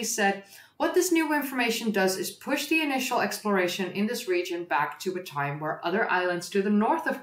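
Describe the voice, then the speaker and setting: Dutch-born woman, native dutch speaker, mid-pitched voice, chatty livestream host, echoey room. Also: a bit bright. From Netherlands. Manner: chatty and rambling, with fillers and self-corrections. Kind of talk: casual livestream, reading an article aloud.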